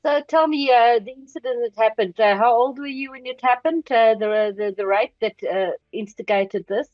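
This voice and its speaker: A woman's voice: a little high, normal speed, no echo, muffled recording. A woman hosting an interview, asking hesitant questions with fillers. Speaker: interviewer, host.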